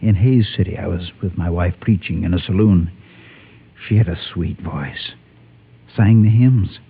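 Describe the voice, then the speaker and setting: A low, husky man's voice carries a former gunfighter who became a preacher and is crushed by guilt. He confesses gravely and haltingly in vintage Western radio style. The recording is thin and tinny, like a telephone. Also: exaggerated voice. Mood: haunted, confessional. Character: haunted former gunfighter turned preacher, guilt-ridden man. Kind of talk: 1950s Western radio drama acting. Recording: muffled, tinny old-time radio recording.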